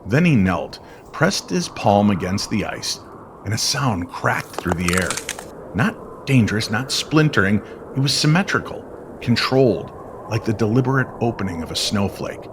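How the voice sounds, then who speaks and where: A man narrating an eerie story in old-time radio style, with dramatic, deliberate, suspenseful phrasing. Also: lowish voice, very animated, noisy background.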